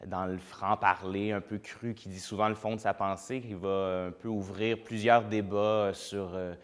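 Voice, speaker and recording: A man's voice: on the low side, flat delivery, moderate pace. A man speaking as a playwright in an interview, reflective and hesitant, with many fillers.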